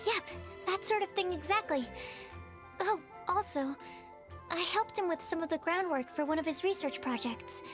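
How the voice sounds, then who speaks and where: A woman playing an earnest, shy young female alchemist in a video game, polite and bookish with a soft-spoken, hesitant manner. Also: extremely high pitch, moderate pace. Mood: helpful. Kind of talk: video game cutscene dialogue. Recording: faint music behind the voice.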